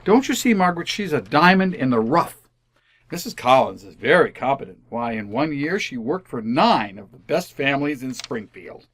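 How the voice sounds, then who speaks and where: A man's voice: mid-range voice, big pitch swings, moderate pace, fairly bright tone. A man playing a placating, easily exasperated suburban husband in an old-time radio comedy, stammering and hedging. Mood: pleading.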